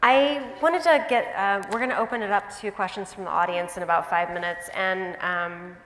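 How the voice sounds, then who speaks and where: A woman professor moderates an onstage talk, asking measured questions with small filler pauses. Her mid-pitched voice sounds roomy and carries a long hall reverb. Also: a bit bright, slight background noise. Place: university auditorium.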